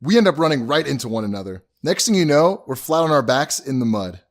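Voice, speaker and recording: Male actor as excitable young husband, lively swooping pitch, folksy and informal, audio drama. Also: quick pace, lightly acted. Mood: amused storytelling. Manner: informal, chatty storytelling.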